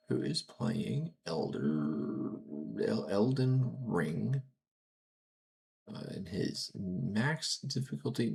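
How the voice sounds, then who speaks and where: Male streamer, gravelly low voice, slow, casual sign-off chatter, faint hum behind. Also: darkish tone.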